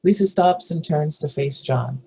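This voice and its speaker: Casting: male narrator for screenplay directions, slightly high, husky voice. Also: a little slow, a little mellow, poor audio. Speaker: narrator reading stage directions.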